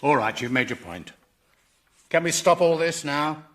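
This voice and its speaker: A man's voice: on the high side, animated, measured pace, a bit bright. An actor playing a decent, exasperated professor in a radio sitcom, hesitant and fretful in his talk. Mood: weary. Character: weary, anxious male professor, decent and exasperated. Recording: noisy sound-effect ambience behind.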